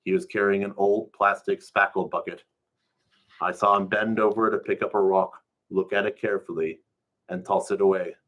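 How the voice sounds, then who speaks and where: A man's voice: sits low, a bit bright, muffled recording. A man reading a first-person story aloud in a colloquial, storytelling way.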